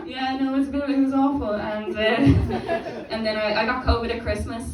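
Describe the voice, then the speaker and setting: A woman's voice: on the high side, fairly even intonation, normal speed, crowd noise. A young woman from Dublin doing a stand-up set on a club stage, chatty and self-deprecating, laughing at her own jokes. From Dublin, Ireland. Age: young adult. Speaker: stand-up comedian. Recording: roomy venue, distant mic, audience noise.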